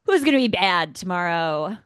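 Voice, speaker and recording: A man's voice: sits high, sing-song, normal speed, a bit bright, clean tone, no room sound, clean studio sound. An American man co-hosting a motorsport podcast, giving opinions in a casual, emphatic, conversational way.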